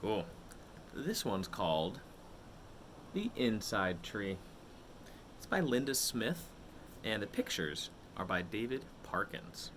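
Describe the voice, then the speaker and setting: A man's voice: fairly low pitch, big pitch swings, slow pace, pauses often, fairly bright tone, slight background noise. A man hosting a read-aloud for children, reading a picture book expressively and addressing his young listeners directly.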